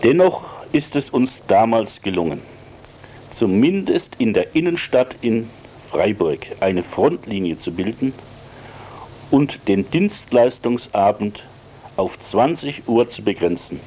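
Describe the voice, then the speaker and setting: Slow, animated man with a mellow voice. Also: fairly low pitch, slight background noise. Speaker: works council member and radio contributor. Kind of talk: prepared radio commentary.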